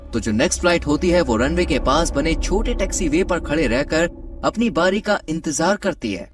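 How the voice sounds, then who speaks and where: A man delivering scripted, dramatic documentary narration. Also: a little high. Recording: distant-sounding voice over background music. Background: music.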